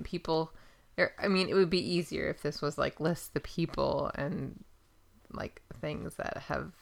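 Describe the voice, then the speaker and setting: A woman co-hosting a casual podcast, easygoing and quick to laugh. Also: deep voice, gravelly, a bit bright. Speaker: podcast co-host. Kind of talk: casual chat. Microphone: podcast studio mic.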